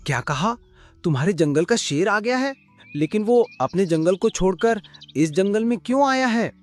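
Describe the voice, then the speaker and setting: A man's voice: high voice, animated, moderate pace, soft background music. A man voicing a clever, loyal male donkey in a cartoon story, earnest and crafty. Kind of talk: cartoon voice acting.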